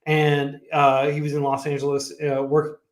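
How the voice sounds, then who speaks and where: A man's voice: on the high side, monotone, normal speed. A man talking casually as a guest on a livestream, chatty and full of fillers and restarts. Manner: chatty, many fillers and restarts, laughs often. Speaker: founder of a coding nonprofit, guest. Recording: echoey room.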